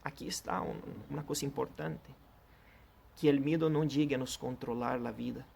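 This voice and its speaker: A man's voice: mid-range voice, fairly even intonation, normal speed, gravelly, a little mellow, a little hiss or hum. A man whose first language is Portuguese, preaching a sermon in an earnest, direct way and addressing the listener personally. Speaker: pastor.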